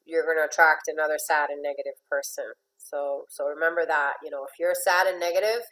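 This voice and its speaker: A woman's voice: deep voice, a bit bright, poor audio. A woman coach from Venezuela giving a motivational talk to a group, chatty, often repeating words and laughing lightly between phrases. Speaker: life and relationship coach.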